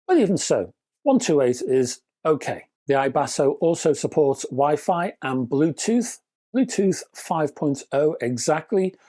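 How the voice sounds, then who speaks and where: A man's voice: a little high, sing-song, a little slow, warm tone. A British man narrating a scripted product review with chatty, wry asides and emphatic phrasing.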